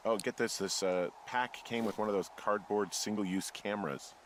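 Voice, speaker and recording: An actor voicing an easygoing American fire lookout in a video game, conversational, joking and deadpan. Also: male voice, on the low side, moderate pace, slight background noise, close to an everyday voice. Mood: casual, curious. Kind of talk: naturalistic video game dialogue. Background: faint background music.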